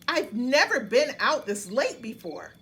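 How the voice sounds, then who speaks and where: Bedtime story bunny: young, male, eager and polite, animated intonation; woman performer. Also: a little high, measured pace, heavily acted. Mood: eager, playful.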